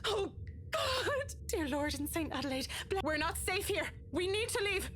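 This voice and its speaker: An actress playing an agitated, defiant young woman in a horror game, halting and sharp-tongued. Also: very high voice, lively intonation, a little hiss or hum. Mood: panicked, agitated. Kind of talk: dramatic video game voice acting.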